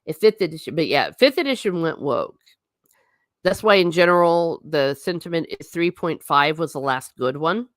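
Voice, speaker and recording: Low-voiced woman chatting on a livestream. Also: animated, moderate pace, dry recording, clean studio sound. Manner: chatty and blunt, with frequent asides and restarts. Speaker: livestream host. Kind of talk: casual livestream chat, reading viewer comments.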